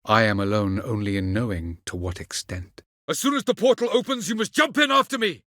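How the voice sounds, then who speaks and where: An actor playing an ancient, aloof elven sage in a video game, speaking in a measured, formal and condescending way. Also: male voice, medium pitch, expressive, sharp tone, no echo, clean studio sound. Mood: grave, then urgent shouting. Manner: measured, formal, haughty delivery. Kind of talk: scripted video game dialogue.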